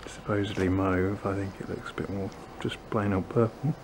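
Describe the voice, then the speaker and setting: A man's voice: low voice, fairly even intonation, normal speed. A man chatting casually to camera as he plants in his backyard, musing and second-guessing himself, often trailing off. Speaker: gardening vlogger. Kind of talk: casual thinking-aloud narration while gardening. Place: small backyard garden outdoors. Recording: noisy outdoor ambience.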